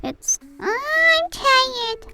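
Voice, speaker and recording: A woman's voice: extremely high pitch, expressive, very slow, over loud music, pitched above the performer's own voice, heavily acted. A young girl voicing a scared, whiny little kid who pleads and drags out words in a hobby roleplay story. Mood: tired, whiny.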